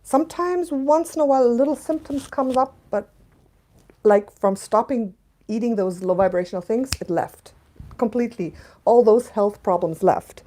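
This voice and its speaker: A woman's voice: a little high, moderate pace. A woman in her late forties with a mixed Canadian, German and Scottish accent, talking casually to viewers on a live stream and laughing often. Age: 40s. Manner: chatty, laughs often, shifts between hushed and bright. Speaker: channel host reading viewer comments.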